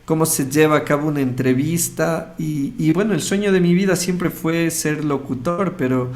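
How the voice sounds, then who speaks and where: A man's voice: fairly high pitch, normal speed, darkish tone. A man with an Ecuadorian accent, casually telling a personal story as an interview guest, acting out bits of dialogue as he goes. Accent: Ecuadorian Spanish. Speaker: radio host interviewed as guest.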